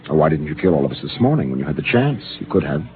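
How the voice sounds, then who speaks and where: A deep, steady starship captain. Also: male voice, a little hiss or hum, exaggerated voice. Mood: calm. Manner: measured and commanding. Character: calm, steady male expedition captain. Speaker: spaceship captain. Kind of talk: 1950s radio drama acting. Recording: lo-fi old radio broadcast.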